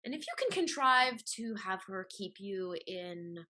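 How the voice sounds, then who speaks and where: An actress playing a cool, calculating mistress in a Restoration high-society comedy, speaking crisply and persuasively. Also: female voice, expressive, measured pace, bright, forceful tone. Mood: persuasive, plotting. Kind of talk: theatrical Restoration comedy acting.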